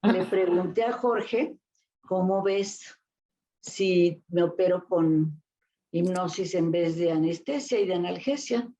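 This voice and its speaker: An older Mexican woman recalls her career as an interview guest, with a lowish, dark, mellow voice. She rambles and restarts often. The sound is dull and lo-fi. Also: takes it slowly. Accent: Mexican Spanish. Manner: rambling recollection with many fillers and restarts. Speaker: guest therapist. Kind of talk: casual interview storytelling.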